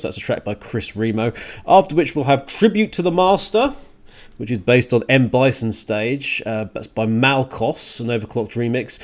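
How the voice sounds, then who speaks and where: Man hosting with lively, swinging pitch. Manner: thinking aloud, self-correcting. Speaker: radio show and podcast host. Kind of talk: casual rambling solo radio show talk.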